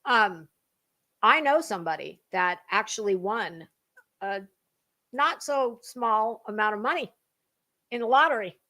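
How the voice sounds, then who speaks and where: A woman's voice: mid-range voice, expressive, a little slow, long pauses, sharp tone. An American woman doing a dramatic reading mixed with casual, gossipy commentary, blunt and snarky with theatrical emphasis. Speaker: online commentator reading documents aloud.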